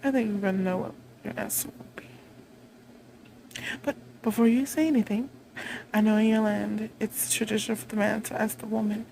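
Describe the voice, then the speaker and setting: Girl doing a gloating vampire queen for audio roleplay, imperious n taunting, soft mellow tone, bit of background noise. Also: mid-range voice, fairly even intonation, normal speed, vocal fry, natural voice, low-quality recording. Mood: taunting, commanding. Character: haughty, vengeful vampire queen, gloating conqueror.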